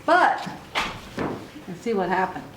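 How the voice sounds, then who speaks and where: A woman reading a story aloud to young children in an expressive way, with little asides to her listeners. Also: mid-range voice, slow pace. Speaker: children's author reading own book aloud. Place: book signing event in an echoey room. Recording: echoey room recording with clear noise.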